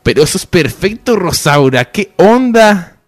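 A man's voice: high voice, big pitch swings, a little slow, dry recording, voice pushed up, exaggerated voice. A man playing an earnest confidant in a parody radio soap.

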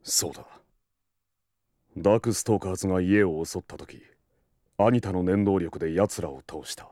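Low, brooding cursed wanderer. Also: male voice, dark tone, dry recording, clean studio sound. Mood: somber, bitter. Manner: grave, somber, measured storytelling. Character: brooding male vampire hunter, cursed wandering loner. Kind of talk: Japanese audio drama acting with narration.

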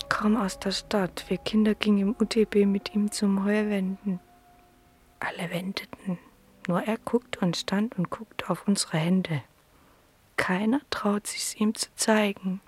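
An actress playing a dreamy, exact night watchwoman who murmurs haltingly to herself in a radio play. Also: medium pitch, husky. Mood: wistful remembering. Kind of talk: German radio play acting.

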